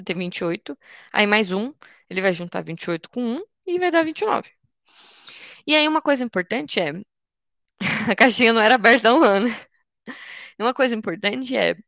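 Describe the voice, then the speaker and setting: A woman's voice: mid-range voice, creaky, a bit bright, no room sound, studio quality. A woman in her twenties from Brazil, teaching an online coding workshop in a patient, informal way, explaining step by step with frequent restarts. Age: 20s. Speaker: workshop instructor.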